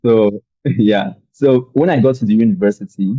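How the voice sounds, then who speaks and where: A young man from Nigeria with a Nigerian accent, telling his story as a podcast guest in a relaxed, rambling way with many fillers. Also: on the low side, moderate pace, breathy edge, dry recording, highs cut off. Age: young adult. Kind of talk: conversational storytelling.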